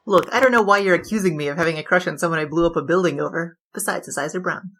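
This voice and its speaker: A woman's voice: fairly low pitch, racing, fairly bright tone. A woman playing a brash, boastful young bandit king who tells wisecracking, slangy stories in a 1920s noir comedy audio drama, with music behind. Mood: wry storytelling. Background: background music.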